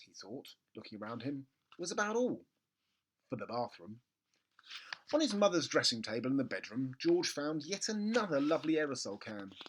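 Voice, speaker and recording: Male storybook reader, mid-range pitch, expressive narration, theatrical emphasis, comic pauses. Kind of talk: expressive children's book narration.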